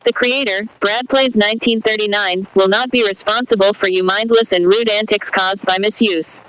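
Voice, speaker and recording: A woman playing a brisk, official emergency alert announcer, reading a scripted warning. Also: mid-range voice, fairly even intonation, a bit bright, close to an everyday voice, rough recording. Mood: formal.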